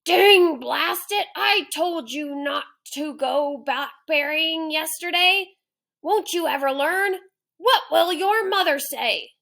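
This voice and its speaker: Woman acting a loud, blustering storybook lion. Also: high voice, slow pace, vocal fry, voice pushed up, heavily acted. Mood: furious scolding. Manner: loud yelling, blustering, exclamatory. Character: angry, vain young male lion, mother-fearing bully. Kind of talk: children's storybook character reading.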